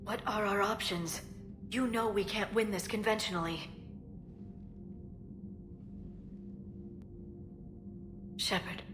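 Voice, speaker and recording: An actress playing a gentle, grave alien scientist in a video game, speaking in a measured, earnest, precise way. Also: female voice, mid-range voice, music in the background, lightly acted. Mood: earnest, hopeful. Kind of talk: video game cinematic acting.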